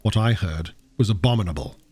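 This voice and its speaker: A man as a blunt, husky Victorian lawyer. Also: fairly low pitch, brisk, a little mellow. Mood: insistent. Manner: firm, formal. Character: sober, blunt, loyal Victorian gentleman lawyer.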